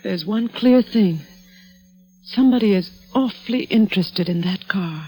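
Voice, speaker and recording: An actress playing an anxious, determined wife in a 1940s radio drama, theatrical and earnest. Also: female voice, medium pitch, unhurried, dark tone, breathy edge. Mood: urgent, protective. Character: anxious, determined wife caught in intrigue, woman. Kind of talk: 1940s radio acting with dramatic first-person narration.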